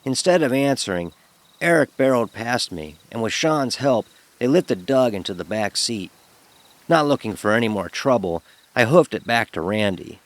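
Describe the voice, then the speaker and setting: A man telling a first-person horror story in a dramatic, expressive narrating style. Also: fairly low pitch. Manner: expressive, acts out dialogue.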